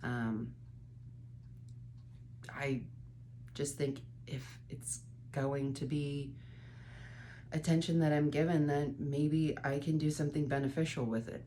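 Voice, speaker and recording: A woman's voice: deep voice, steady tone, takes it slowly, pauses often, warm tone, slight background noise. A woman in her thirties with a stuffy, congested nose, candidly rambling through a late-night vlog from her bedroom, sometimes swearing. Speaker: vlogger.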